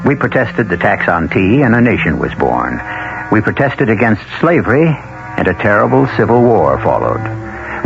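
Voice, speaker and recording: Deep, oratorical male radio host. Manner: deliberate, oratorical. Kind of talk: scripted dramatic narration, 1970s radio. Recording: dull 1970s radio recording. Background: clear music.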